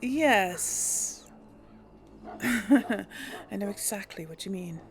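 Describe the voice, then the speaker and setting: A woman with a creaky voice giving casual, easygoing game commentary, musing to herself as she plays. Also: mid-range voice, expressive, drawn-out words. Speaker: let's play host. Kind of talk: casual game commentary with light role play. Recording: faint background game ambience.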